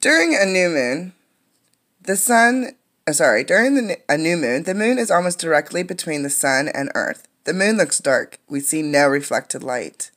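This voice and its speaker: A woman's voice: low voice, sing-song, a bit bright. A woman reading a children's science book aloud like a teacher, explaining things as she goes. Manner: explanatory, pausing to comment and ask questions.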